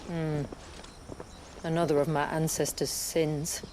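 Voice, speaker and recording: Low-pitched female video game guide, stoic and world-weary, dry matter-of-fact delivery. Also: level tone, slow, darkish tone, a little hiss or hum. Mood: grave. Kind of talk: video game cutscene acting.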